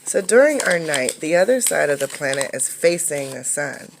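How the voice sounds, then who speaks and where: A woman reading a picture book aloud as a teacher, in an instructive way, pausing to explain and ask questions. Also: low voice, sing-song, creaky, noisy background.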